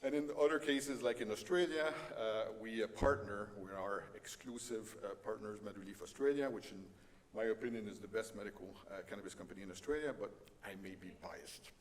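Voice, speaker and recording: A middle-aged man with a French Canadian accent gives a conference presentation in a halting way, with many fillers and light self-deprecating humor. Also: on the low side. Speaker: conference speaker. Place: conference hall. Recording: reverberant conference hall with faint noise.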